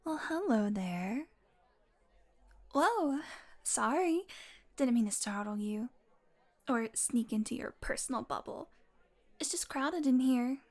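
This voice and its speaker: A woman acting as a brash female vampire in an intimate audio roleplay. Her voice sits on the high side, and she talks with teasing sarcasm, swearing casually and flirting as she goes. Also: expressive, slow pace, pauses often. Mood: flirty, playful. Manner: sarcastic, playful, casually profane.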